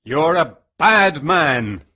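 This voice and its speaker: A reader voicing an angry male beast in a storybook, speaking slowly and threateningly. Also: mid-range voice, big pitch swings, bright, forceful tone, breathy edge, heavily acted. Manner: slow, heavy, threatening speech. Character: huge angry male beast, stern and menacing. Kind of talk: storybook reading.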